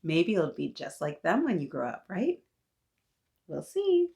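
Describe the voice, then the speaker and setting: A woman's voice: on the low side, huge pitch swings, measured pace, gravelly, darkish tone. A woman hosting a read-aloud for young children, talking to her little listeners in an encouraging, teacher-like way, with questions and praise. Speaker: children's story-time host.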